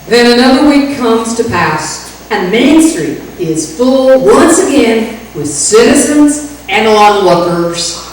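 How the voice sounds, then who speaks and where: A woman's voice: on the high side, lively intonation, takes it slowly. A woman playing a brassy, rowdy cowgirl who narrates tall tales in a comic radio western. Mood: droll, folksy. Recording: echoey, distant stage recording, faint noise.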